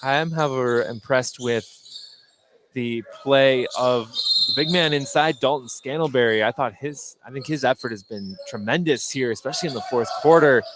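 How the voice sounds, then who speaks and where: A man's voice: medium pitch, moderate pace. A man doing live play-by-play radio commentary of a basketball game as the announcer. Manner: rises to shouts on big plays. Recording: gym noise behind the voice. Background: basketball game in a gym, bouncing balls.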